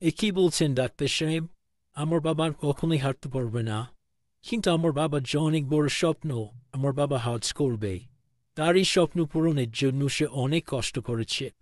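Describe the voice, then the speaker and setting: A man's voice: fairly high pitch, normal speed, dry recording, studio quality, lightly acted. A man playing a devoted, respectful adult son in a cartoon, speaking earnestly. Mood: shocked, distressed. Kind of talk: cartoon dialogue.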